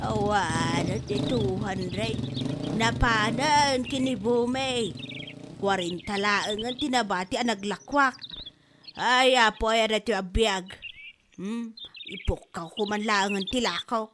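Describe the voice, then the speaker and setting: A woman playing a vain, sassy mother who sells snacks in a comedy drama, expressive and complaining. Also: medium pitch, takes it slowly, background noise, close to an everyday voice. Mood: fretful. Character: vain, sassy Ilocano mother, struggling halo-halo vendor. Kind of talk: Filipino comedy audio drama.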